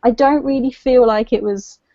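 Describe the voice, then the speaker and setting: Female livestream co-host, fairly high voice, casual and hedging, dull video-call audio. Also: normal speed, mellow, dry recording. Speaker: co-host of a book discussion livestream. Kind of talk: casual chat.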